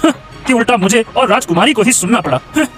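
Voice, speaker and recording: A man voicing a resentful, complaining palace gentleman in an animated Hindi moral story, grumbling in an agitated way. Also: very high voice, quick pace, over music. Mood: aggrieved.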